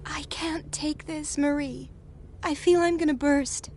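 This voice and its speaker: A woman's voice: high voice, fairly even intonation, measured pace, darkish tone, a little hiss or hum. An actress voicing a harried, put-upon young woman working at a hospital, in scripted game dialogue. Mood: fed up, anxious. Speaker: voice actor in a video game. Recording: music behind the voice. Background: background music.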